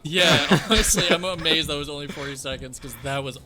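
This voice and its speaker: A man's voice: fairly high pitch, fairly quick, crowd noise. A young man chatting casually and laughing while reacting to comedy readings. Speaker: co-host.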